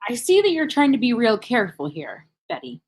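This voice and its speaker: A woman's voice: fairly high pitch, huge pitch swings, fairly quick, breathy edge. A woman hosting an unscripted reaction video, speaking in a blunt, mocking way with heavy swearing and emphatic repetition.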